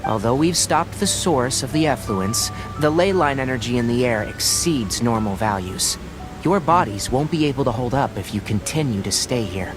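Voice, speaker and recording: An actor playing a calm, earnest young researcher in a video game, explaining things in a measured, considerate way. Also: male voice, mid-range voice, music in the background, lightly acted. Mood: businesslike.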